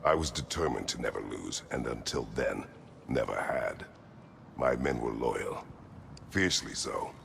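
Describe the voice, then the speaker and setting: Battle-worn war god for a game: extremely low, heavily gravelled, terse and grave, in long reverb. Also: male voice, a little slow, a bit bright, slight background noise, full character voice. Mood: solemn, reflective. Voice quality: very deep, gravelly. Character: brooding, battle-worn former god of war and Spartan general. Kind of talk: dramatic video game acting. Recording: long cavernous reverb, music behind.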